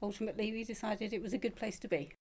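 A woman chatting casually as an interview guest, measured and drily understated. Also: medium pitch, steady tone, a little mellow. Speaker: show organiser, interview guest.

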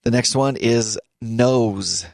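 A man's voice: mid-range voice, slow, vocal fry, no room sound, clean studio sound. A middle-aged man hosting a radio health show, chatting casually, laughing often and stumbling over repeated words as he reads. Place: radio studio. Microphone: studio microphone.

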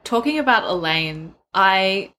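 A woman podcast co-host with a bright, crisp edge to her voice and a middling pitch. She talks casually and gives jokey, slangy opinions. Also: lively intonation, slow pace, a little hiss or hum. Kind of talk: casual book discussion chat.

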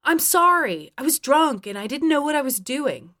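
A woman's voice: on the low side, big pitch swings, measured pace, fairly bright tone, clean tone. A woman narrator playing a defensive husband who pleads and insists irritably, in dramatic audiobook dialogue. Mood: frustrated.

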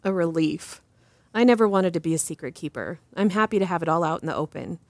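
A woman playing an earnest, principled doctor who answers interview questions in a formal, precise and measured way. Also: fairly low pitch, expressive, a little mellow, no echo. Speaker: interview guest. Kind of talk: scripted drama interview.

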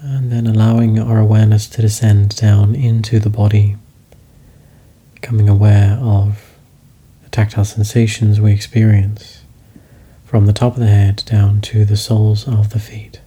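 A man leading a guided meditation, speaking slowly and calmly in soft instructions. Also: fairly low pitch, breathy edge. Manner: slow, calm, soft-spoken instructions.

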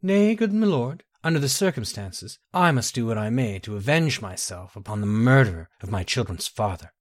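A man's voice: medium pitch, lively intonation, moderate pace, dry recording, clean studio sound, lightly acted. A man voicing a proud, wounded widowed queen in a fantasy story, with a formal, guarded and emotional delivery. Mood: grave pleading.

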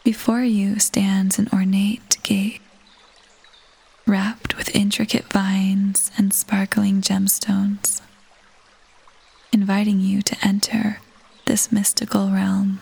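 A woman narrating a scripted guided meditation in a soft, breathy near-whisper, soothing and gentle, pausing between phrases. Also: mid-range voice, little pitch movement. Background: soft new-age music.

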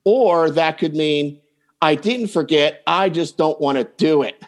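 A man's voice: fairly high pitch, measured pace. A man speaking as a coach and podcast guest, giving advice in a casual, anecdotal way. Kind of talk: casual advice chat, telling client anecdotes.

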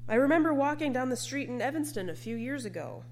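Woman, fairly high voice, preacher, scripted sermon, earnest and measured, faint noise and hum. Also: animated, a little mellow.

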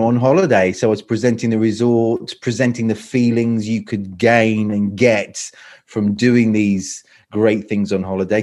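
A man's voice: medium pitch, darkish tone. A middle-aged man from London with a British accent, a speaking coach chatting as a guest on a video call and often stopping to restart his sentences. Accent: British English. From England, London. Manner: hesitant, many restarts and repeats. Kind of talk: casual interview chat.

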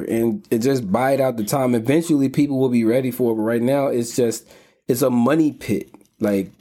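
A man's voice: mid-range voice, warm tone. A man hosting a podcast, giving informal, opinionated financial news commentary with slangy, sometimes profane phrasing. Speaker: podcast host.

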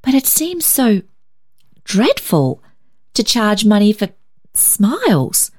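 A woman's voice: medium pitch, sing-song, slow, darkish tone, pitched above the performer's own voice. A woman reading a story, voicing an earnest, anxious young woman with an emotional, hesitant manner. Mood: hesitant dread. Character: Barbara, earnest, easily shocked young woman, anxious sister.

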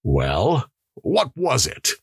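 A man's voice: medium pitch, huge pitch swings, very slow, breathy edge, no room sound, clean studio sound, voice pushed up, heavily acted. A man voicing a stern but puzzled prison warden in an audiobook, asking curt, probing questions. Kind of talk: audiobook character acting.

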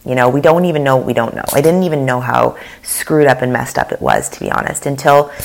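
A woman's voice: a bass voice, very animated, quick pace, vocal fry, a little mellow. A woman, hosting her own podcast and talking earnestly while losing her voice, with fillers and restarts. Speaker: podcast host. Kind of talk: solo podcast monologue.

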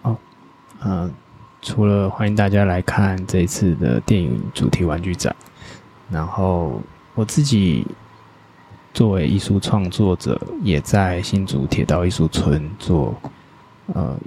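A man, a guest artist in a casual podcast interview, talking haltingly with many filler pauses and quick agreements. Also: sits low, lively intonation, gravelly, dark tone, a little hiss or hum. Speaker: guest artist, toy brand owner.

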